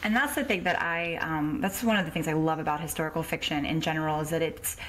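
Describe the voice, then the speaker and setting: A woman's voice: sits low, fast talker, a bit bright, poor audio. A woman with an American accent, hosting a podcast and chatting conversationally as the interviewer. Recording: clear background noise, clicks.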